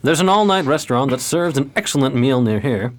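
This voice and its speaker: A man playing a courtly, uneasy gentleman gambler in a 1920s period radio drama, speaking formally. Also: medium pitch, animated, brisk, close to an everyday voice.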